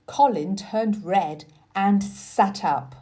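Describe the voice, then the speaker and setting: A woman reading scripted narration for children like a teacher, deliberate and expressive. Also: medium pitch. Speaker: storybook reader, teacher.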